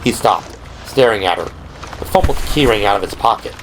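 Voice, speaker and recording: A man's voice: fairly low pitch, animated, normal speed. A man reading dramatic scripted narration for a horror audio drama. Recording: clearly noisy background. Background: ambient sound effects.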